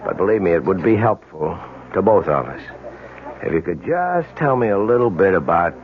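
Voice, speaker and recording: An actor playing a courteous, patient New York police lieutenant questioning someone in a radio drama. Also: male voice, deep voice, sing-song, normal speed, a little hiss or hum. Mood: probing, patient. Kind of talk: 1970s radio drama acting. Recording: tinny vintage radio broadcast.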